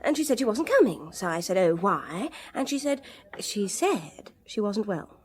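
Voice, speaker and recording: A woman's voice: medium pitch, very animated, moderate pace, darkish tone, lightly acted. An actress playing a frank, indignant society woman with an English accent, candid and confiding, in a radio drama. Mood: disapproving, confiding.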